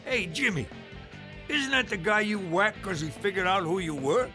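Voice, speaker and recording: A man's voice: sits high, normal speed, music in the background, natural voice. A man voicing a crude, brash uncle in a cartoon, pushy and streetwise. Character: crude, brash uncle, six times divorced.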